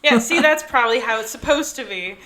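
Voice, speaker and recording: A woman's voice: on the high side, lively intonation, brisk, bright, forceful tone. A young woman co-hosting a casual art podcast, swearing freely and laughing often as she tells stories. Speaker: podcast co-host. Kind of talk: casual chat.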